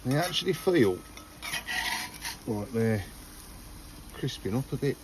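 An older man talks viewers through his cooking at an outdoor grill, chatty and homely, often pointing things out. His voice sits in the middle of the range. The outdoor recording carries clear background noise. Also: mid-range voice, lively intonation, slow pace. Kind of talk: casual cooking vlog. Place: garden patio by a grill, outdoors.

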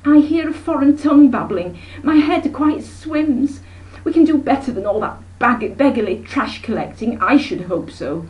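A woman's voice: high-pitched, expressive, moderate pace, darkish tone, far from the mic, pitched above the performer's own voice, rough recording. A woman voicing a breezy, self-important middle-aged aunt in a story reading, gushing and pompous. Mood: haughty, affronted. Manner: gushing, pompous, talks in long runs.

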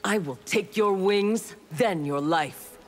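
A woman's voice: medium pitch, slow, a little hiss or hum, natural voice. An actress voicing a stern, armored female clan warrior in a fighting game, speaking in a cold, clipped, menacing way. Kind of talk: game intro banter. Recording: game audio with music behind.

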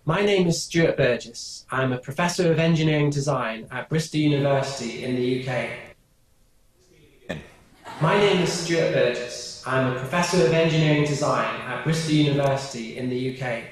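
A man's voice: medium pitch, moderate pace, roomy sound, rough recording. A man speaking as an engineering professor in a formal, precise video statement. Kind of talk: scripted video testimony.

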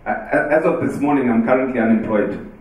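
A man in his thirties from Zimbabwe answers audience questions on stage in a relaxed, joking way, with many fillers. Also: mid-range voice, quick pace, a little hiss or hum. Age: 30s. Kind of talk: casual talk and Q&A on stage. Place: large dark event hall with audience. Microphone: handheld mic on stage, heard from afar. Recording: echoey hall, distant mic.

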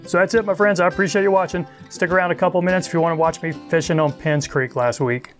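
A middle-aged man narrating a fly tying tutorial in a relaxed, chatty way, thinking aloud as he works. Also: sits high, quick pace, soft background music. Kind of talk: casual instructional narration. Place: outdoors on a wide river.

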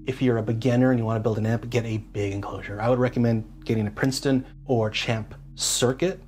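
A man's voice: mid-range voice, fairly even intonation, fairly quick, a little mellow, faint music behind. A man giving a casual, hands-on workshop walkthrough in an enthusiastic, geeky, colloquial way. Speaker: DIY amp builder and host.

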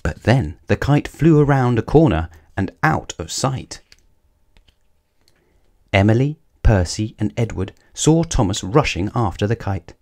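A man's voice: on the low side, animated, measured pace, a little mellow. A man narrating a children's story aloud, expressively, with dramatic emphasis on action words.

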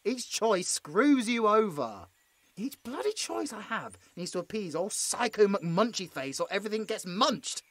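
British male hunter a man acting, frightened captive with a sarcastic streak; stammering, very animated delivery, dry recording. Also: high-pitched, a little slow, no room sound, exaggerated voice. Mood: sarcastic, resigned. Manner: stammering, pleading, with muttered sarcastic asides. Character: frightened, sarcastic injured young monster hunter, placating his captor. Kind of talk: audio roleplay acting.

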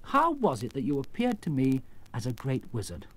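A man's voice: mid-range voice, wildly swooping pitch, moderate pace, breathy edge. A man playing an earnest, bold young girl on a quest in a storybook tale, speaking insistently. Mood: bewildered. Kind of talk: storybook character acting.

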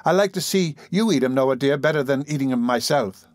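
A man's voice: mid-range voice, a little fast, no echo, studio quality, lightly acted. A man voicing a doting, coaxing young serving woman in an audiobook, with a fawning, affectionate manner. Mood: coaxing, fond. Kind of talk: audiobook character voice.

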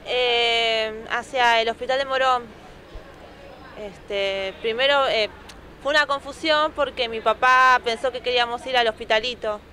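A young Argentine woman in her twenties answers shyly and softly, leaning on fillers. Her voice is bright, sharp-edged and fairly high. She stands on a city sidewalk, speaking into a handheld microphone, with faint street noise behind. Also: steady tone, a little slow. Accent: Argentine Spanish. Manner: shy, soft, with many fillers. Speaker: new mother interviewed. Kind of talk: news street interview. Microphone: handheld reporter microphone.

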